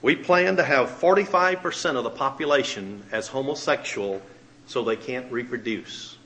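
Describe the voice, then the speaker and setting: A man's voice: medium pitch, moderate pace, a bit bright, poor audio. A man, a magazine editor and radio minister, giving an emphatic dramatic reading of a letter.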